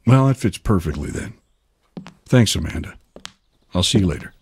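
Gruff middle aged cop in a game, real low husky voice, polite and businesslike. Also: male voice, lively intonation, moderate pace, a little mellow, exaggerated voice. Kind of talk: video game cutscene acting.

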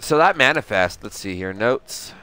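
Guy streaming a game, super clear dry voice, thinking out loud, kinda halting. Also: lowish voice, expressive, no echo, rough recording. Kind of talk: casual livestream commentary, reading notes aloud.

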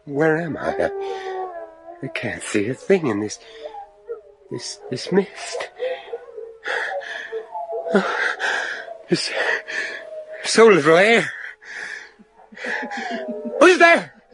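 An actor playing a grave, anxious judge in a gothic horror radio play, with theatrical, deliberate delivery. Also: male voice, fairly high pitch, huge pitch swings, fairly bright tone, exaggerated voice, rough recording. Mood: terrified. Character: grave, anxious judge searching for his missing son.

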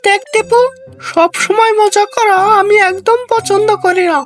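Woman doing a squeaky high cartoon kid, cautious and naggy, always fretting, music under it. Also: fairly even intonation, a little slow. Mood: scolding. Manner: fretful, nagging. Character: cautious, scolding child, a nervous companion in mischief. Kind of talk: children's cartoon fairy-tale acting.

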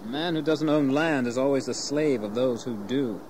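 An actor playing a proud, brooding, resentful young gentleman in an audio history drama. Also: mid-range voice, normal speed, background noise, close to an everyday voice. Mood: bitter defiance. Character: bitter, defiant young gentleman, rebellious and proud.

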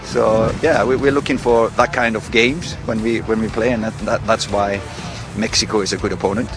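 A man's voice: lowish voice, fairly even intonation. A man with an Icelandic accent giving a hesitant outdoor interview in English as a football coach. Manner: hesitant, halting with restarts. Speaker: national team coach. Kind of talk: press interview. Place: outdoors by a training pitch. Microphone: handheld reporter mic. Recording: music over the interview.

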